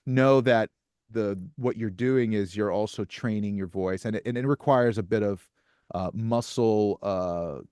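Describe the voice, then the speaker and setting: Thirties male guest, low and smooth, close dry mic, soft-spoken with halting restarts. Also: no room sound. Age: 30s. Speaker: musician guest. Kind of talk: casual interview chat.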